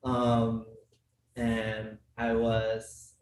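A man's voice: medium pitch, monotone, extremely slow. Young Filipino man, podcast guest, easygoing, lots of fillers; roomy, distant mic, faint hiss. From Philippines. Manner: chatty, many fillers and restarts, laughs often. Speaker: guest, business school student. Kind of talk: casual podcast conversation.

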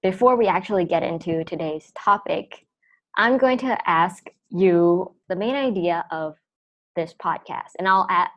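A woman's voice: lowish voice, muffled recording. A woman in her twenties hosting a podcast, chatting casually and explaining at length. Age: 20s. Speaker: podcast host.

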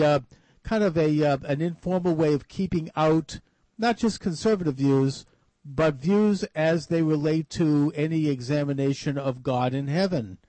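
A man's voice: on the high side, darkish tone, no echo, low-quality recording. A man hosting a radio talk show, asking long questions with many restarts and fillers. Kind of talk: radio interview.

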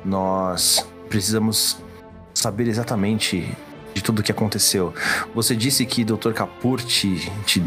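A desperate man out of the past acted by a man at a roleplay table speaks in a low voice. He is insistent and pleading, deliberate in his wording, with clear music behind him. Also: fairly even intonation, natural voice.

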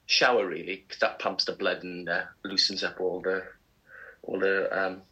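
Bright male co-host, young, rambling and self-mocking; casual breakfast chat. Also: low-pitched, level tone, moderate pace, lo-fi sound.

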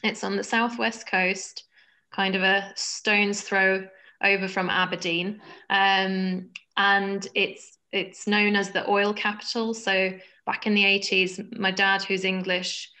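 Woman from Norway, guest on a call, chatty storyteller, crisp bright tone, little melody. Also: mid-range voice, flat delivery, slow. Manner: warm storytelling with fillers and laughs. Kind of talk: video call conversation. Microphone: video call.

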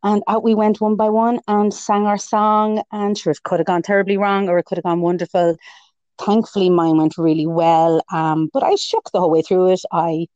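A woman talks as a guest in a relaxed interview, her voice sitting low for a woman. She tells stories warmly and readily, acting out her own inner voice. The sound is dry and close, with no room around it. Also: moderate pace, no room sound, dull audio. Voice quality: lower voice for a woman. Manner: chatty storytelling with self-quotes and laughs. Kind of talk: casual interview chat.

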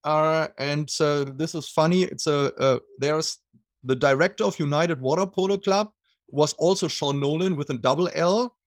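A middle-aged man with a German accent, speaking as an interview guest. His voice sits a bit high for a man and sounds dry and close, with no room around it. He talks haltingly, with fillers and restarts. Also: no echo. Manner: hesitant, many fillers and restarts. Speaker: guest, water polo goalie coach. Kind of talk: casual interview.

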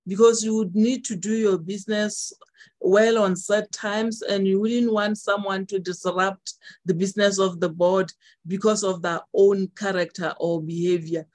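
Measured woman speaking in discussion. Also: mid-range voice, fairly even intonation, darkish tone. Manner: measured, with frequent fillers. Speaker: co-host. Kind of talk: conversational discussion.

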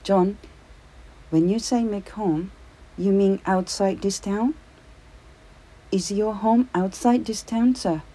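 A woman's voice: lowish voice, unhurried, dark tone, slightly rough, voice pushed up. A woman voicing a caring little boy who asks earnest, eager questions.

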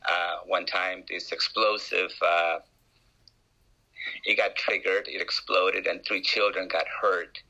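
Peruvian-accented man, bright voice. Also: sits low, little pitch movement, slow, creaky, rough recording. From Peru. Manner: hesitant, many restarts and uh fillers. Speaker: guest. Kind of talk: interview storytelling.

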